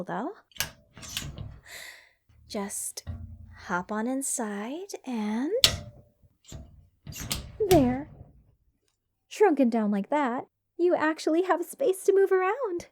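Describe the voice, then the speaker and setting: A woman playing an eccentric, curse-loving mage in an ASMR fantasy roleplay, soft and soothing, sweetly rambling with wry endearments. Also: high-pitched, a little hiss or hum, full character voice. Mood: pleased, cooing.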